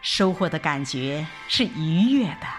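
A woman with a slightly low voice narrates an audio drama in the first person. Her delivery is very slow and reflective, with deliberate pauses and wide, expressive swings in intonation. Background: background music.

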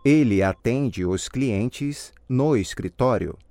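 A man giving scripted model answers in a language drill with careful, deliberate enunciation. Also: medium pitch, expressive, mellow, dry recording. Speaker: language course model speaker.

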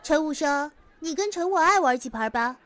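A woman's voice: sits high, expressive, normal speed, fairly bright tone. A woman playing a chirpy, friendly schoolgirl classmate in an audio drama, with a coaxing, playful manner. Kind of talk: Chinese audio drama acting.

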